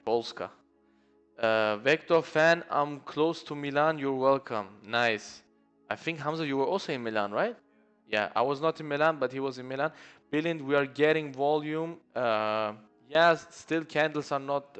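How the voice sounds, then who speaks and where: Male stream host with a smooth, resonant voice at mid pitch. Easygoing and chatty, greets viewers by name with faint music underneath. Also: unhurried. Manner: reads viewer comments aloud and greets them by name; relaxed, chatty, says "bro" often. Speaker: live-stream day trading host. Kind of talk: casual live-stream chat.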